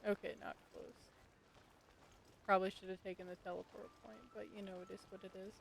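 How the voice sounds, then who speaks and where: A woman's voice: medium pitch, flat delivery, brisk, creaky, a little hiss or hum. A woman commentating casually on her live gameplay, muttering and thinking aloud to herself. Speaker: game streamer. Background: video game music.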